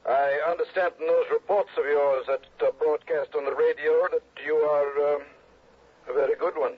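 A man's voice: a little high, steady tone, breathy edge. A man playing a formal, fussy insurance executive through a telephone filter in 1960s radio drama style. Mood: formal, polite. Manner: polite, precise, deliberate. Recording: tinny telephone-filtered old radio recording.

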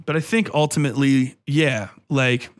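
A man's voice: mid-range voice, steady tone, moderate pace. A man ranting casually as a podcast host, blunt, profane and exasperated, rambling through his opinions in slangy speech.

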